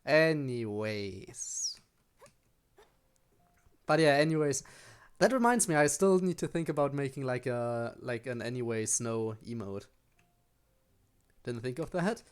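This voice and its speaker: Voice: medium pitch, lively intonation, unhurried, pauses often, smooth. A game streamer with a mid-range voice talks casually to viewers during play. The delivery is chatty and rambling, drifting into personal asides. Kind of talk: casual live stream chat while playing a game.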